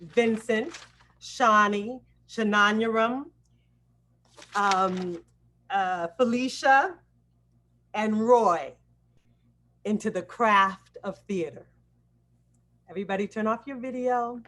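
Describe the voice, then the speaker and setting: Woman, medium pitch, unhurried emphatic delivery, host's welcome address, live video call. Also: fairly bright tone. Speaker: acting coach hosting a student presentation.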